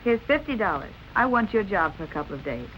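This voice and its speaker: A woman's voice: mid-range voice, sing-song, fast talker, a bit bright, slight background noise, rough recording. An actress playing a determined, plucky young woman sleuth in a 1940s film noir style. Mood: coaxing. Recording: old film soundtrack with music behind.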